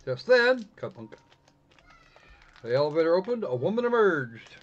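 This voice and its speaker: A man with a high-pitched voice hosts a casual game stream. He rambles wryly through his commentary, swearing freely and reading bits of on-screen text. The recording is dull and a little muffled. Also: very animated, moderate pace. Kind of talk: casual live commentary on a visual novel, reading text aloud.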